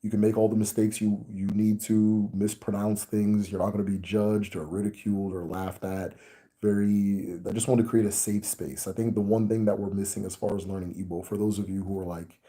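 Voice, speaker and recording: A man with an American accent, hosting a casual talk to his viewers in a relaxed, rambling way with many fillers. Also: on the low side, brisk. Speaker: host. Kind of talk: casual video chat to viewers.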